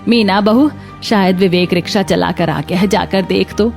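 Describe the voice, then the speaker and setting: A woman voicing a kindly elderly grandmother who coaxes gently in a cartoon story. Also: medium pitch, moderate pace, creaky, faint music behind, close to an everyday voice. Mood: coaxing, gentle.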